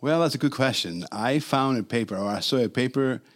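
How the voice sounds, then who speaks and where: A man's voice: mid-range voice, fairly quick. A man in his sixties, born and raised in Greece, telling stories as a podcast guest with an easy, chuckling manner. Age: 60s. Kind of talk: interview storytelling.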